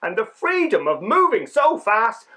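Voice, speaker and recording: Man voicing an excitable little winged bug, lively pitch. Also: a little slow, full character voice. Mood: thrilled. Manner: wide-eyed, dramatic, emphatic first-person telling. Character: small excitable winged insect narrating its adventure. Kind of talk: children's storybook read-aloud.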